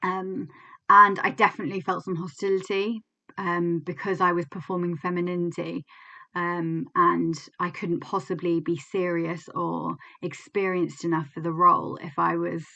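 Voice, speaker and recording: Thoughtful English woman with a bright voice. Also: on the low side, level tone, normal speed, vocal fry, highs cut off. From England. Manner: thoughtful, candid, many fillers and restarts. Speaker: clinical psychologist. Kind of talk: reflective teaching talk to camera.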